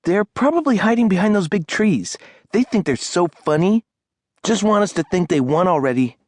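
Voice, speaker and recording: A woman's voice: lowish voice, expressive, moderate pace, darkish tone. A woman voicing a bold, stubborn, adventurous young boy in an audiobook story, speaking with confidence and urgency. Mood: unimpressed. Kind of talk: audiobook character acting.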